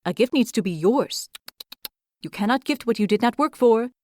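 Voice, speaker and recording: A woman's voice: fairly high pitch, expressive, normal speed, a little mellow, close to an everyday voice. A woman voicing a busy, frantic male squirrel who fires off clipped questions.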